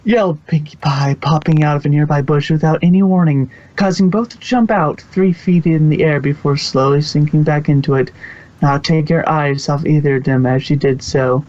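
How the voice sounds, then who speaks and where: A man's voice: fairly high pitch, normal speed, a little mellow, muffled recording. A man reading scripted storybook narration for a fan fiction audio production.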